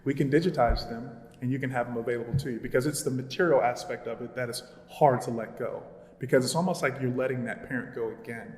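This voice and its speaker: A man's voice: medium pitch, fairly even intonation, fast talker, few pauses, darkish tone. Earnest man speaking in an echoey gallery. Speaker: archive curator and panelist. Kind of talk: public panel talk. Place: gallery event space. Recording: echoey gallery room with long reverb.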